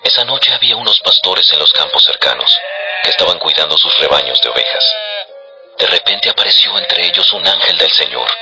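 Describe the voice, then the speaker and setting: A man narrating scripture as a solemn, measured storyteller for a dramatized audio production. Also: low voice, lively intonation, creaky, over music.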